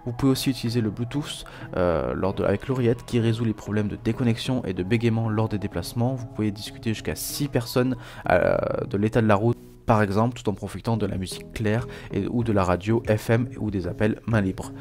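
A man's voice: lowish voice, fairly quick, a little mellow. A man presenting a scripted product review as a voice-over, reading aloud with small stumbles and self-corrections. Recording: voice-over with music behind. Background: background music.

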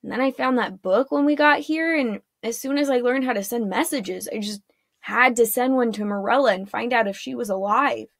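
A woman's voice: on the high side, moderate pace, no room sound, clean studio sound. A woman voicing a cheeky orphan boy in a storybook, earnest and defensive with a teasing bravado. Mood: explaining.

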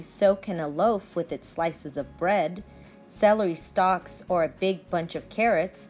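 A young woman teacher reading aloud to children with deliberate, expressive enunciation. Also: lowish voice.

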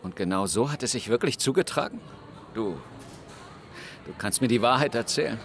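A man's voice: medium pitch, lively intonation, a little hiss or hum, natural voice. A man playing an evasive, hesitant middle-aged schoolteacher in a German audio drama. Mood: guarded, probing. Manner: hesitant, halting. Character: evasive, uneasy male schoolteacher. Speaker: actor. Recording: loud music under the voice.

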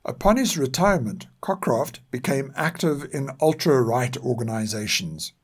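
An older man reads scripted historical narration in a measured, formal and serious way. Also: medium pitch, lively intonation, husky. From South Africa. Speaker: narrator and podcast author.

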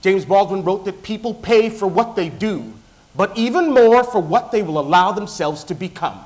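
A man in his thirties, originally from Nigeria and raised in the US, delivers a polished short research talk on stage in a big lecture theatre. His voice sits a little high for a man. He speaks deliberately and rhetorically, leaning on dramatic pauses and sharp shifts in emphasis. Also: expressive. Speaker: doctoral student presenter. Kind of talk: memorized competition talk on stage. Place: large lecture theatre with audience.

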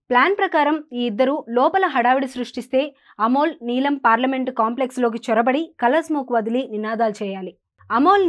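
A woman, reading scripted news as an anchor in a formal, projected delivery. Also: on the high side.

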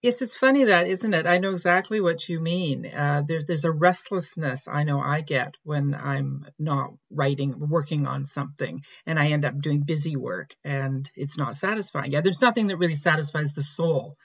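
A woman's voice: low-pitched, moderate pace, hardly any pauses, highs cut off. A woman hosting a podcast interview, chatty and affirming as she talks. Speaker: podcast host. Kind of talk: interview conversation.

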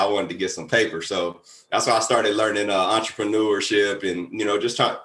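A man from the United States talks as an interview guest, relaxed and slangy. His voice is very low, heard with a noticeable roomy echo. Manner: relaxed, slangy, loose with fillers. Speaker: guest, entrepreneur. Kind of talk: casual interview storytelling.

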